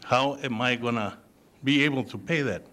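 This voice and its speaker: Halting Spanish-accented man at a podium. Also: mid-range voice, pushed and bright. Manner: halting, with frequent fillers and restarts. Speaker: resident speaking at public comment. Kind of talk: public comment at a council meeting. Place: city council chamber, at a podium. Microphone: podium microphone on a gooseneck.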